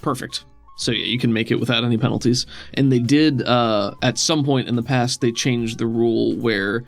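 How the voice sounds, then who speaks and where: A man with a creaky, fry-laden voice at medium pitch runs a tabletop game. He narrates and explains rules in a casual way, with faint music underneath. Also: fairly even intonation, moderate pace. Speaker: game master.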